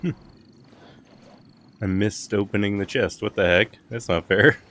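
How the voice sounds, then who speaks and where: A man's voice: on the low side, a little slow, fairly bright tone. A man chatting casually as he streams a game live.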